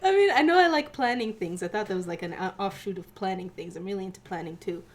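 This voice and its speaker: A young woman co-hosting a casual podcast, bubbly and quick to giggle. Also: medium pitch, animated. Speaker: podcast co-host.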